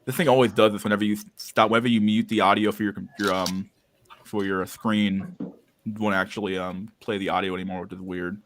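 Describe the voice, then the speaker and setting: A man's voice: fairly low pitch, fairly even intonation, fairly quick, a bit bright, a little hiss or hum. A man chatting casually as the host of a live stream. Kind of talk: casual chat. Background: background music.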